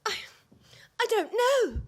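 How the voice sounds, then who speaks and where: A woman plays a loyal young wife close to tears in a radio drama. Her voice is very high, earnest and plaintive. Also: sing-song, slow, exaggerated voice. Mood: distressed, plaintive. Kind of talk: radio play acting.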